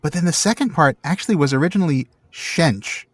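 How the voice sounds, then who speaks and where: A man's voice: fairly high pitch, expressive, a little fast, no room sound. A man co-hosting a podcast, talking casually and playfully, with a bookish bent for explaining words. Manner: playful, jokey. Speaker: podcast co-host. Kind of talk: casual banter and word-history explanation.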